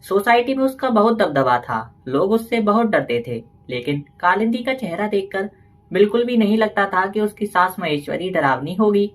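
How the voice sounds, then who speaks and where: A woman's voice: mid-range voice, very animated, normal speed. A woman narrator reading a scripted story.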